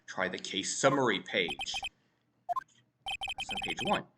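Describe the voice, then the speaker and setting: A woman voicing a stern, arrogant older male prosecutor in a video game, slow, deliberate and scornful. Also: a bass voice, animated, very noisy, voice pushed down. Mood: coldly insistent. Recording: distant mic, loud game music.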